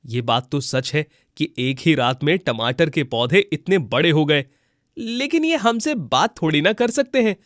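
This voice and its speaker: A man's voice: a little high, expressive, measured pace, dry recording, clean studio sound. A man playing a humble, good-hearted village husband in a children's cartoon story, speaking earnestly. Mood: doubtful.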